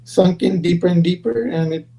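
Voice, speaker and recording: A man's voice: high-pitched, moderate pace, gravelly, warm tone. A man in his thirties, born in Pakistan and raised in Los Angeles, answering interview questions over a video call in a casual, friendly storytelling way. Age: 30s. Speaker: guest, perfume brand founder.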